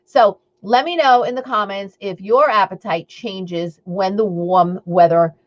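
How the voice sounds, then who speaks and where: A woman's voice: medium pitch, unhurried, highs cut off. A woman with a Long Island accent hosting a live stream as an eating coach, chatting upbeat and rambling with lots of fillers and asides to her viewers. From Long Island, New York.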